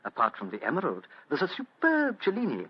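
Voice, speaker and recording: An actor playing a coldly polite English butler who turns smug, murderous thief, in a radio mystery. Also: male voice, on the high side, huge pitch swings, a little fast, breathy edge, heavily acted. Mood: gloating. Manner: formal, elaborately polite menace. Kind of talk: 1940s radio mystery acting. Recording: dull, muffled old radio recording.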